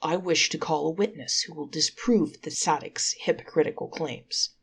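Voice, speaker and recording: A woman reads as a male angel prosecutor in a storybook court. The delivery is theatrical and declamatory, indignant and triumphant, with a low, gravelly edge. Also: moderate pace, a bit bright, slight background noise. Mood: stern.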